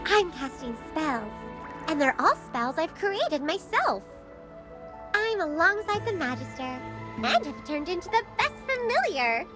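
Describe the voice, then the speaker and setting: An earnest young game mage, with a high voice and lively intonation. The character sounds brave and sincere, with music clearly underneath. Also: female voice, a little slow, heavily acted. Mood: resolute. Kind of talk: scripted game voice acting.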